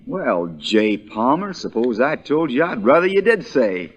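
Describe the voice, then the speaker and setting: Folksy male country lawyer for vintage radio drama: medium pitch, drawling, dryly teasing, plain-spoken. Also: very animated, a little slow, breathy edge, muffled recording. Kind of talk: 1940s radio acting.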